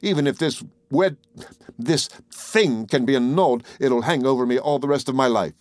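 A man voicing a furious, imperious young woman who scolds with sharp, scornful indignation in a storybook reading. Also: medium pitch, brisk, no echo, clean studio sound, natural voice. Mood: furious, accusing.

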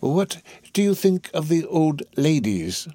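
Man putting on a slow, sly, wheedling old crook. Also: on the high side, sing-song, dark tone, no echo, clean studio sound, pitched above the performer's own voice, full character voice. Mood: sly, coaxing. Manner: slow, drawn-out, ingratiating. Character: sly, wheedling older male criminal fence.

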